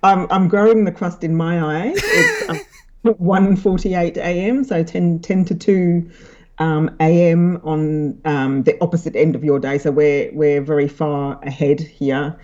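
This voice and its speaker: A woman's voice: low-pitched, a little slow, a little mellow, lo-fi sound. Chatty London woman with an Aussie twang. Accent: London English with a slight Australian twang. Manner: chatty, laughs easily, many ums. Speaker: guest author. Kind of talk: casual interview chat. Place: home in Sydney, late at night.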